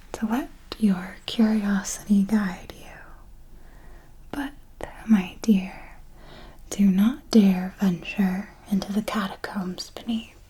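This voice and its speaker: A woman playing an elegant vampire mentor in a whispered ASMR roleplay, formal and deliberate, possessive, teasingly menacing and protective, with a breathy delivery. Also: medium pitch, darkish tone, slight background noise, low-quality recording. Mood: solemn, hushed. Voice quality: breathy, mostly unvoiced whisper. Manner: slow, deliberate, formal, with measured pauses.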